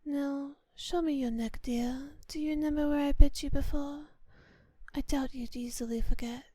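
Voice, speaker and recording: A woman playing a kind, doting vampire mistress in an intimate ASMR roleplay, speaking softly and often whispering in refined, unhurried phrases. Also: high-pitched, steady tone, no echo, clean studio sound, lightly acted. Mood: gently commanding. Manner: soft, breathy, often whispered, unhurried endearments; refined, formal diction. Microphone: close microphone in a dry space.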